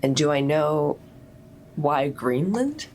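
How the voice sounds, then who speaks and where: A woman's voice: low voice, very animated, slow, a little mellow, slight background noise. A woman chatting casually as a player in a tabletop role-playing game.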